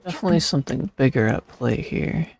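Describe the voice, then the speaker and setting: Gravelly male gameplay streamer. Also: mid-range voice, lively intonation, quick pace, a little mellow, slight background noise. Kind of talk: casual gameplay commentary.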